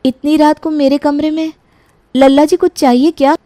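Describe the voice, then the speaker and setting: A woman's voice: sits high, little pitch movement, moderate pace, warm tone, no room sound, voice pushed up, natural voice. A woman playing an anxious, polite young daughter-in-law in an audio story, speaking with earnest emotion. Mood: alarmed, pleading.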